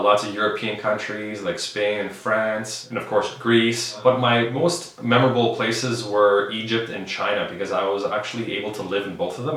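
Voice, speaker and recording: A man in his thirties from Canada, talking casually to camera about himself in a relaxed, thoughtful way, with many fillers and restarts. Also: fairly low pitch. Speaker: vlogger, high school science teacher. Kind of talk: casual personal vlog. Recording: very echoey room, faint background noise.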